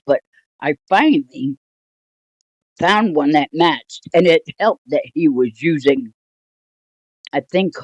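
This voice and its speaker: An older woman telling a personal story casually, in a rambling, halting, wryly amused way. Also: low voice, a little mellow, dry recording, dull audio. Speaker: storytelling vlogger.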